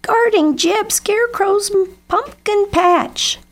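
Lady doing excitable nosy lady crow, storybook, super high and shrill, shrieky. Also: a little slow, full character voice. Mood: panicked, shrieking.

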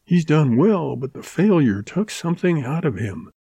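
A man's voice: fairly high pitch, sing-song, moderate pace, vocal fry, warm tone, breathy edge, no room sound, voice pushed up, exaggerated voice. A man voicing an earnest, tearful young ranch woman in a read western story. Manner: pleading, heartfelt.

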